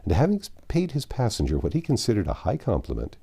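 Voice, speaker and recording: Male audiobook narrator, deep and warm, expressive storybook read with lively, wide-moving intonation. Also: quick pace, breathy edge.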